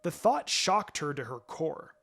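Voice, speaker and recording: A man's voice: on the high side, big pitch swings, a little slow, vocal fry, fairly bright tone. A man reading fan fiction aloud as a narrator, in a dramatic scripted storytelling style. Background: music.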